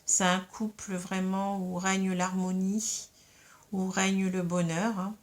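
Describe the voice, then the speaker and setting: Woman with a cold, tarot reader, casual and halting. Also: medium pitch, level tone. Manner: hesitant, many fillers and tag questions. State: has a cold. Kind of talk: casual tarot reading to camera.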